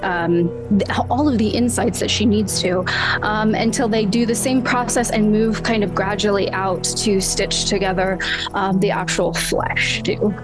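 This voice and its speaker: A woman's voice: mid-range voice, measured pace, low-quality recording. A woman playing a tabletop game, improvising descriptions of the action in a hesitant, informal way. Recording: music behind the voice. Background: music.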